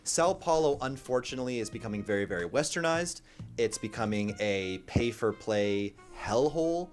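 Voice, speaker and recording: A man's voice: mid-range voice, expressive, moderate pace, faint music behind. A man in a brash, profane ranting monologue, giving blunt dating advice to camera. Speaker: dating coach.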